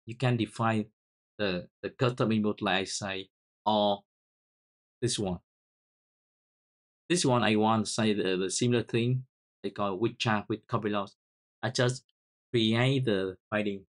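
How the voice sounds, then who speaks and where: Halting Vietnamese-accented man explaining code. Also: lowish voice, steady tone. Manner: halting, repeats words while typing key commands. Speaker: software tutorial host. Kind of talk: screen-recorded coding tutorial.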